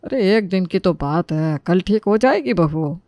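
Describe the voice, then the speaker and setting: Kindly middle-aged father-in-law, voiced by a man, soothing and concerned, animated family tale, dry close recording. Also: high voice, a little slow, no room sound, lo-fi sound. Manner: caring, soothing. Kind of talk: Hindi animated moral story acting.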